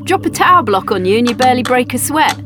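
A woman's voice: high-pitched, sing-song, quick pace, over music. An actress playing a feisty, cheeky young woman adventurer in a science-fiction audio drama, brash and teasing. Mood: cheeky, excited.

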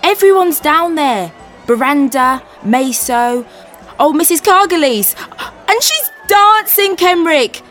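A woman's voice: high-pitched, lively intonation, takes it slowly, fairly bright tone, soft background music, full character voice. An actress playing a caring older sister who teases and hurries her younger brother along, in an audio drama. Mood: urging. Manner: coaxing, bossy then tender.

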